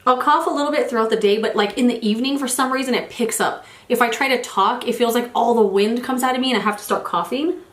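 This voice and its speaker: A woman's voice: a little high, fast, hardly any pauses, a bit bright, reverberant. A woman in her forties chatting casually while doing her makeup, a little congested after a cold, rambling and restarting her sentences. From United States. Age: 40s. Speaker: beauty vlogger. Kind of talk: casual get-ready-with-me chat.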